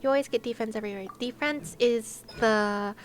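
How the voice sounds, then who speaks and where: A woman's voice: a little high, normal speed, faint music behind. A woman chatting casually to viewers on a game livestream, with a playful, giggly, chatty manner. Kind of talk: casual livestream chat while gaming, talking to viewers.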